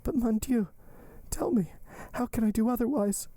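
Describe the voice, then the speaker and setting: An anguished young woman from an audiobook , as a male narrator plays her, pitched well above his usual voice. She pleads earnestly and exclaims with drama, dutiful and devoted. The recording is dry and close. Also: normal speed, dark tone, dry recording. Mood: despairing, pleading. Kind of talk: audiobook character dialogue.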